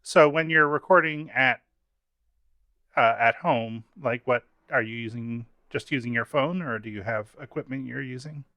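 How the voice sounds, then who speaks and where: A man's voice: medium pitch, moderate pace, sharp tone, no room sound. A man hosting a casual interview over a video call, talking in a conversational way. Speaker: interviewer, host. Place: remote video call, dry room. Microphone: video call mic.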